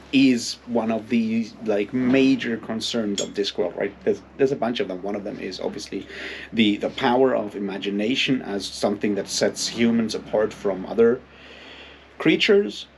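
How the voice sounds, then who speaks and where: A man giving a casual, unscripted book commentary in a halting, rambling way, with plenty of filler phrases. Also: mid-range voice, vocal fry, a little mellow, a little hiss or hum. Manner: halting, hesitant, rambling delivery with frequent hedging filler phrases. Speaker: book reviewer and readalong host.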